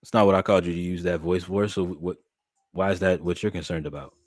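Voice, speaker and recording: A young man speaks in a low voice, animated and preacherly as he talks straight to his livestream chat. The sound is close and dry with no room around it. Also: fast, no echo. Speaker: streamer and podcast host.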